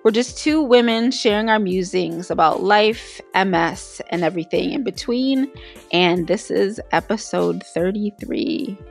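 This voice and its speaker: Hoarse, gravelly-voiced woman podcaster. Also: medium pitch, unhurried, soft background music. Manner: candid, storytelling about her illness. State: losing her voice. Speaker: podcast co-host. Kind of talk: casual podcast chat.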